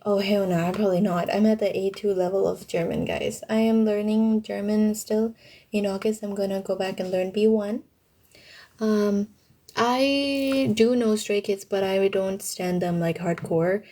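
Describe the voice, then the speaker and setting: Young woman chatting on livestream, mellow voice. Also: mid-range voice. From Thailand. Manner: informal, youthful slang. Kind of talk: casual livestream chat answering viewer questions.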